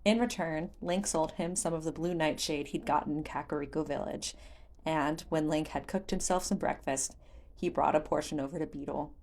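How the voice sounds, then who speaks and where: A woman's voice: deep voice, normal speed, gravelly, a little mellow. A woman reading scripted fantasy fiction aloud as an audiobook narrator.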